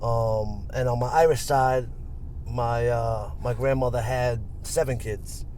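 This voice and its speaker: A man's voice: medium pitch, fairly even intonation, slow, darkish tone. A young man with a New York accent, talking casually in an interview with loose street slang and many fillers. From Coney Island, Brooklyn. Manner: laid-back street slang, lots of fillers. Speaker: rapper, interview guest. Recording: noisy street background.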